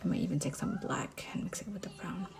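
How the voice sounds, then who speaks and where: A woman's voice: lowish voice, fast talker, creaky, whispered, over music. A woman with a Moroccan accent, a makeup artist casually explaining each step of her makeup routine.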